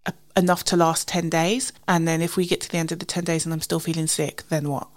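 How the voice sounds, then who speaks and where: Casting: female British podcast host, slightly low voice, a bit congested with a cold, informal and chatty delivery. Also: little pitch movement, fast talker. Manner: informal, self-deprecating, recounts conversations in quoted dialogue. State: slightly husky and sniffly with a cold. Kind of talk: casual chatty solo podcast.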